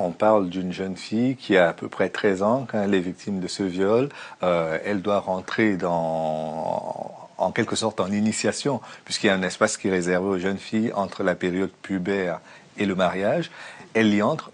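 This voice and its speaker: A male interviewer asking questions and summarising the book, measured, with fillers. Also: sits low. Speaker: interviewer, host.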